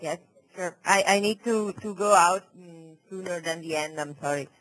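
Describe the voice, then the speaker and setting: A woman's voice: fairly low pitch, moderate pace, fairly bright tone, rough recording. A woman coordinator making brief, informal remarks on an online call. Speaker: project coordinator.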